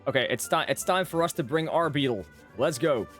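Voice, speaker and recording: A man's voice: on the high side, fairly quick, fairly bright tone, soft background music. A man giving casual, joking live commentary as a game streamer, often repeating his phrases.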